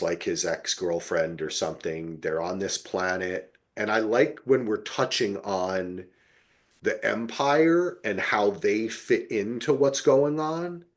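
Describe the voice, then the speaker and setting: Low-voiced man chatting casually about TV. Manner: enthusiastic, informal, slangy. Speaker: podcast host. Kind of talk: casual TV review chat.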